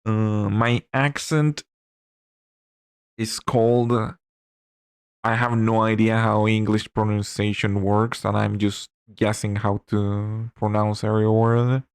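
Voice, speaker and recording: A man's voice: fairly low pitch, little pitch movement, slow pace, smooth, no room sound, clean studio sound. A man from Chile with a Chilean Spanish accent, a native Spanish speaker chatting casually on a livestream, musing aloud while he works and answers chat. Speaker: streamer.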